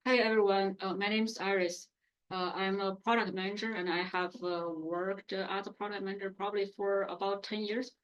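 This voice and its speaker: A woman's voice: medium pitch, little pitch movement, fairly quick, lo-fi sound. A woman in her thirties or forties, a product manager, introducing herself on a panel in a straightforward way.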